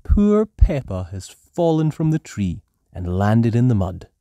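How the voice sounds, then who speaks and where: A storyteller hosting and narrating a children's story in an animated style. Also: mid-range voice, unhurried, dry recording. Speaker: storyteller and channel host.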